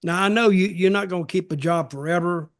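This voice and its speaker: An older man with a somewhat high voice talks casually on a podcast. He gives his opinions haltingly, often repeating a phrase and starting it again. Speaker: podcast co-host and wrestling veteran. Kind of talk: casual chat, reminiscing and giving opinions.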